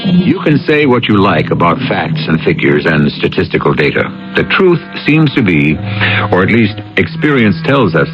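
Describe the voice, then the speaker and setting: Deep-voiced male mystery host over music. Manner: rhetorical, measured, dramatic emphasis. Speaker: host and narrator of mystery radio series. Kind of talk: theatrical scripted radio narration. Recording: dull radio broadcast recording.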